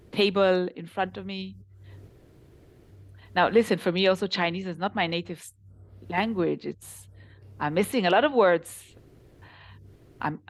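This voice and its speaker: A woman with an Israeli accent, a translation company founder, chatting in an interview and telling animated stories with laughs. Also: on the low side. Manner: animated storytelling, laughs while talking. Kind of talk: casual interview conversation.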